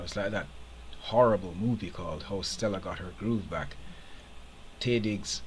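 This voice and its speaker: A man's voice: sits low, a bit bright, poor audio. Jamaican male streamer, chatty commentary, faint background noise. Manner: chatty, opinionated, laughs often. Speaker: game streamer. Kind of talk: casual live gameplay commentary.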